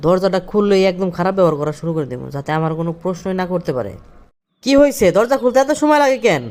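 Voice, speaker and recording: A man's voice: high voice, expressive, racing, a little mellow. A man playing an irritable, scornful two-timing husband and office boss in a cartoon drama, curt in manner. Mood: scheming. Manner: scolding, curt.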